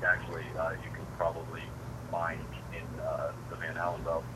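A man's voice: very deep voice, completely flat delivery, normal speed, background noise. A man, a musician guest, talking casually in an interview with many fillers and restarts.

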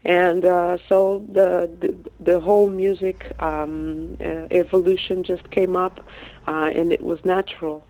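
A woman's voice: on the low side, flat delivery, unhurried. A woman raised in Portugal, a singer, speaking hesitantly with many fillers in a phone interview. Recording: tinny phone line.

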